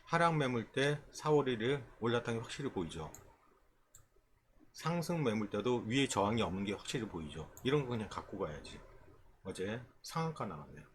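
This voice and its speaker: A man's voice: medium pitch, lively intonation, brisk, slight background noise. A man giving an informal, explanatory tutorial as an instructor, often checking in with short rhetorical questions. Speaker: stock trading instructor. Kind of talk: informal screen-share tutorial explaining stock charts.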